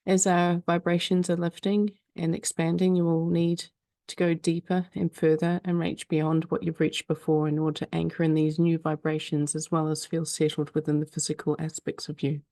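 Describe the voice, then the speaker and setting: A woman leading a guided meditation in a calm, soothing way, speaking slowly with frequent pauses. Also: deep voice, dry recording, clean studio sound.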